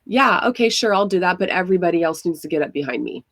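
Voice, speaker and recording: A woman's voice: lowish voice, animated, fast, dry recording, studio quality. A woman from Hawaii, a podcast guest, telling her own story frankly and casually, with lots of fillers. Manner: frank, conversational, many fillers. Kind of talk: candid personal storytelling.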